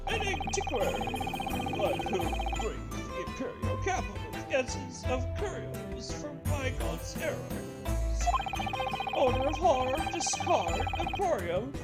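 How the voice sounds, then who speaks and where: A woman's voice: sits high, very animated, pitched above the performer's own voice. A woman voicing a humble old male antique peddler in a video game, with ornate, flowery phrasing. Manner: slow, ornate, self-introducing speech. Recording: game music behind the voice.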